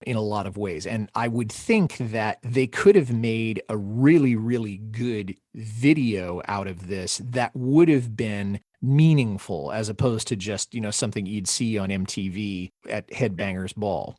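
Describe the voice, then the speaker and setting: A man's voice: on the low side, a little slow, few pauses, a little mellow, no room sound. Male podcast host, dry close sound. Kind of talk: conversational music discussion.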